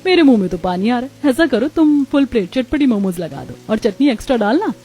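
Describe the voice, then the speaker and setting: A woman's voice: a little high, animated, quick pace, dark tone, husky, faint music behind. A woman voicing a fussy mother buying snacks at a station in a cartoon story. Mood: delighted. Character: fussy, then delighted mother customer at a station.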